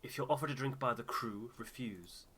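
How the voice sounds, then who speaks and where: A man voicing a careful, concerned ship's doctor in a game, measured and formal. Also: medium pitch, bright, forceful tone, slightly rough. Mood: grave, concerned. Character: earnest, discreet male expedition doctor, concerned and careful.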